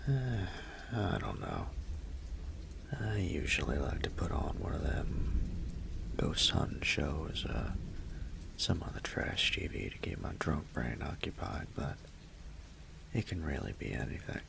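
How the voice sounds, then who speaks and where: A very gravelly, low-voiced Southern guy acted out by a man plays the flirty roommate in an intimate audio roleplay. He drawls slowly and half-whispers, teasing as he goes. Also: sing-song, background noise, heavily acted. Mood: relaxed, casual. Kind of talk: intimate roleplay audio acting, close and conversational.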